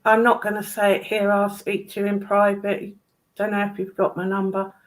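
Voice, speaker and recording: A woman's voice: medium pitch, flat delivery, normal speed, poor audio. A woman with a British accent chatting casually in a blunt, sweary, emphatic way. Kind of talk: casual chat.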